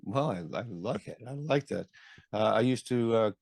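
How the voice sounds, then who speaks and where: An older man from Montreal interviewing a guest in a casual, halting and encouraging way. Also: mid-range voice, animated, creaky, a little mellow, dry recording. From Montreal, Canada. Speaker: interviewer, host.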